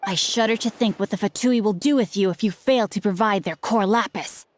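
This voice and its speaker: A woman's voice: mid-range voice, brisk, a little hiss or hum, lightly acted. A woman voicing a studious, polite young nobleman swordsman in a video game, formal, composed and slyly teasing. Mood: coolly intimidating. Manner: formal, archaic, eloquent, composed. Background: background music.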